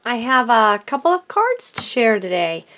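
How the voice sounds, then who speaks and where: A woman with a cold and a hoarse voice, chatting casually as she shows her handmade cards, with frequent ums and self-corrections. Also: fairly high pitch, sing-song, normal speed, fairly bright tone. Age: adult. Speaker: card-making vlogger. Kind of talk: casual craft show-and-tell.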